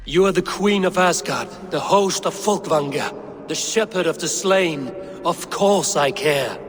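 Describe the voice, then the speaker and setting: An actor playing a stern, impatient Norse god ruler in a video game, grave and measured with an air of authority. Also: male voice, a little high, breathy edge. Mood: grave, resolute. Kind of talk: video game cutscene acting. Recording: reverberant hall with music behind.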